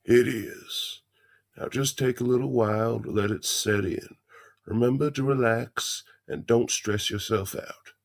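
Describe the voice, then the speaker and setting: A man's voice: medium pitch, fairly even intonation, measured pace, gravelly. A man playing a folksy older animatronic beaver, a cheerily macabre father putting on a hammy stage show in an audio drama. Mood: jolly, brisk.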